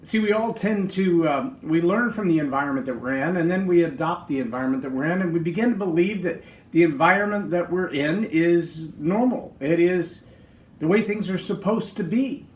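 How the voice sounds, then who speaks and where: A man's voice: fairly high pitch, normal speed, echoey room. A middle-aged man with a big voice hosting a podcast, giving an earnest, emphatic motivational talk on leadership. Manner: earnest, emphatic, speaks in lists. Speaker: podcast host.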